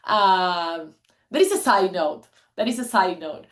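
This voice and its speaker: A woman's voice: fairly low pitch, lively intonation, a little slow. Chatty woman in her forties presenting. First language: Spanish. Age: 40s. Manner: earnest, chatty storytelling with frequent laughs. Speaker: guest speaker. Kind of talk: presentation to an online meeting.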